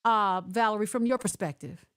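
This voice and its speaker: A woman hosting a casual online interview over a video call, chatty and animated as she works through connection glitches. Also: mid-range voice, normal speed, clear, resonant tone. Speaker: host.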